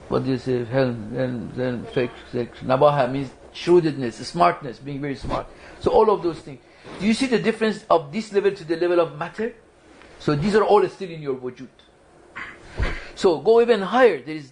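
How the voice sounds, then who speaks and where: A man's voice: on the high side, a little hiss or hum. A man giving a religious study lecture to a small group, talking conversationally, quizzing the audience and often restarting his sentences. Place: lecture room at a community foundation.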